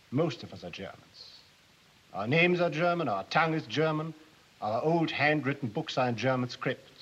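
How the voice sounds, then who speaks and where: A principled older german settler leader in an old 40s movie, impassioned, rough-edged forceful voice, muffled audio. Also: male voice, medium pitch, full character voice. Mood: firm, sorrowful. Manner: deliberate, impassioned, dignified. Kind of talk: earnest speech in a 1940s film drama. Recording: dull 1940s film soundtrack.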